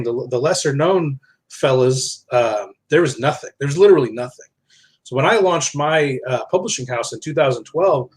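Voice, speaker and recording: A man speaking as a podcast guest in casual, reflective conversation, telling things in a discursive, self-correcting way. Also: medium pitch. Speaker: podcast guest, author and publisher.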